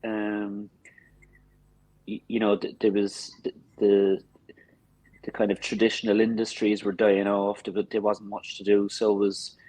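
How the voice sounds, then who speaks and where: Irish guy in his 50s, cork accent, lowish voice, dry close sound, stumbles back over words as he chats. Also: monotone, measured pace, a little mellow, no echo, rough recording. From Cork, Ireland. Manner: hesitant, repeats and restarts words mid-sentence. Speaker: drummer, podcast guest. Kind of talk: casual interview chat.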